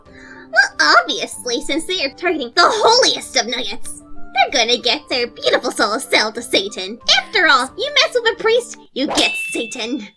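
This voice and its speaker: A woman's voice: high voice, huge pitch swings, moderate pace, sharp tone, faint music behind, full character voice. A woman playing a gleefully menacing, grandiose royal executioner in a cartoon skit, with a pompous, theatrical, mocking delivery. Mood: theatrical, gloating. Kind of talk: hobby animated skit voice acting.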